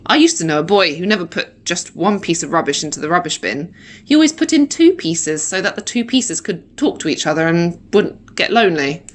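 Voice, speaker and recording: A woman reading a children's story in the first person, with animated, dramatic emphasis like a primary school teacher. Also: fairly low pitch, a little fast. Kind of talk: expressive children's audiobook narration in first person.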